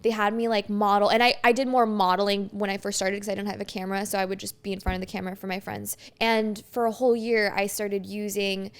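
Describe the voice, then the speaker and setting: Chatty young woman, smooth voice. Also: medium pitch, little pitch movement, fast talker, hardly any pauses. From France. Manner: chatty, upbeat, lots of "like". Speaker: podcast guest. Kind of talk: casual chat.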